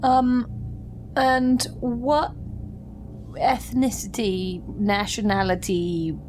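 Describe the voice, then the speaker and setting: A woman's voice: medium pitch, level tone, drawn-out words, a little mellow, soft background music. A woman chatting casually as a player in a tabletop game, hesitant and questioning.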